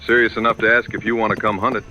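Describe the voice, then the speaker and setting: An actor playing a confident, plainspoken American frontier hunter with laconic, folksy dry humor in a western audio drama. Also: male voice, on the low side, little pitch movement, racing, highs cut off, slight background noise, close to an everyday voice. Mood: wry, easygoing.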